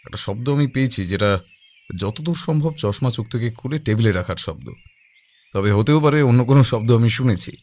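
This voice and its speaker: A man playing a calm, observant detective in an audio drama, speaking deliberately with thoughtful pauses. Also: mid-range voice, lightly acted. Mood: calm, pondering. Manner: deliberate, pausing, hesitating mid-thought.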